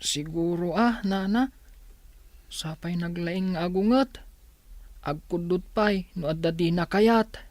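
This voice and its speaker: A woman's voice: fairly low pitch, takes it slowly, long pauses, darkish tone, no room sound. A woman voicing a cautious young orphan boy, the hesitant, resigned older brother in an audio drama. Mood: hesitant, embarrassed. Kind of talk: audio drama acting in Ilocano.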